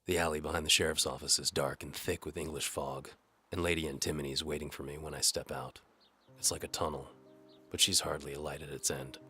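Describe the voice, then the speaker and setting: A man's voice: extremely low pitch, little pitch movement, a little fast, sharp tone, husky. A man narrating a hardboiled first-person audio drama in a world-weary, wry manner over music. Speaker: narrator and lead actor.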